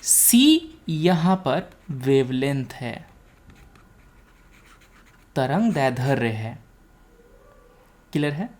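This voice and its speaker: An Indian-accented woman teaches in a low voice with very lively intonation. She explains step by step at a slow pace, repeating key points and pausing to ask if it is clear. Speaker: teacher, exam coaching instructor. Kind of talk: explanatory lecture with check-in questions.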